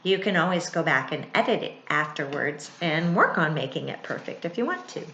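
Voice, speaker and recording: A middle-aged woman reads a children's book aloud like a teacher, expressively, pausing for asides and questions to young listeners. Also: low-pitched, dull audio. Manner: expressive, with dramatic gasps and thoughtful hums. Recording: roomy, echoey indoor sound.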